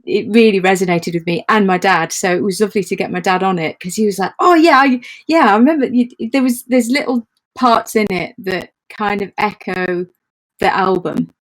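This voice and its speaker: A woman's voice: mid-range voice, moderate pace. A woman chatting casually and enthusiastically as an interview guest. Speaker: guest, audio play creator.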